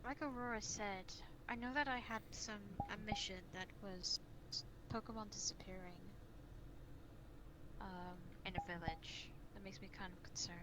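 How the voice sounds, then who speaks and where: A woman's voice: medium pitch, normal speed. A woman chatting casually in character during an online role-play meet-up. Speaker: role-player in a group meet-up. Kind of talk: casual in-character improvised chat. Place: online voice chat in a virtual world. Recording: noisy online voice chat.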